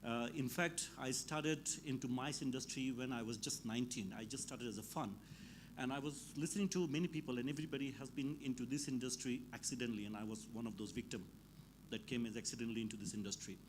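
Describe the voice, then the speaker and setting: A man's voice: mid-range voice, fast talker, noisy background. Chatty male events professional at a summit. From Dubai, raised; born in Bangladesh. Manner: informal, chatty, a little rambling. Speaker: conference speaker in the events industry. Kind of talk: unscripted conference remarks.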